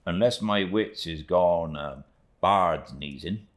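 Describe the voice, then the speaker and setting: A man's voice: sits low, takes it slowly. A man voicing a humble, devout old seafaring uncle in an audiobook, with a rustic East Anglian dialect, earnest and plain-spoken. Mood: deferential. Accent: rustic East Anglian English dialect. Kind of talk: audiobook character reading.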